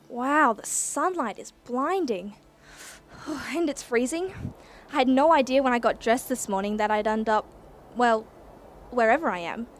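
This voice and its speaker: A woman's voice: on the high side, a little hiss or hum, natural voice. A woman playing a plucky young Australian student research assistant, narrating a radio play in slangy first person. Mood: awed. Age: young adult. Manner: animated, slangy.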